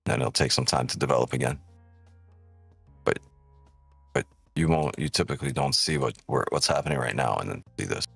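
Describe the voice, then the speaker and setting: A man's voice: extremely low pitch, a little fast, vocal fry, pauses often, a bit bright, husky, dry recording, faint music behind. A man born in Ukraine hosts a live trading stream, chatting casually and with profanity as he reads chat aloud, often tagging on "right?".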